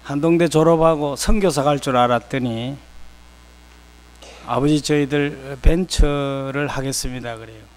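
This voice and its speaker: An older man from South Korea preaching a sermon with personal anecdotes, speaking conversationally in short, unhurried phrases. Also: medium pitch.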